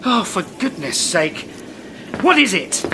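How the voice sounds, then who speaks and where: A man's voice: sits high, big pitch swings, slow pace, noisy background, full character voice. A man with a British accent playing a flustered, pompous, exasperated supermarket manager in a comedic audio drama.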